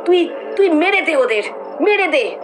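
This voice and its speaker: High-voiced, scheming mother-in-law, acted by a woman. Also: expressive, unhurried, music in the background. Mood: vicious. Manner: scolding, menacing. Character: cruel, scheming middle-aged mother-in-law. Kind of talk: melodramatic horror audio drama.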